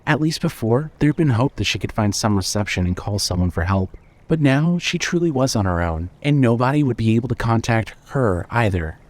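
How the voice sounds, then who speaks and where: A man narrating scripted fiction as a storyteller, in a measured way. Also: mid-range voice, expressive.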